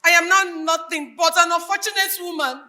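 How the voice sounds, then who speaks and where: A woman's voice: extremely high pitch, steady tone, a little fast, sharp tone, exaggerated voice. A woman acting a grieving, unhinged bereaved mother in a live stage drama, declamatory and anguished, with a Nigerian accent.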